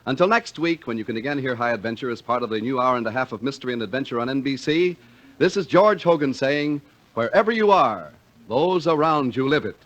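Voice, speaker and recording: A man's voice: medium pitch, lively intonation, fairly quick, fairly bright tone. A man reading scripted 1950s radio announcements in a formal, polished way. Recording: dull lo-fi vintage radio broadcast.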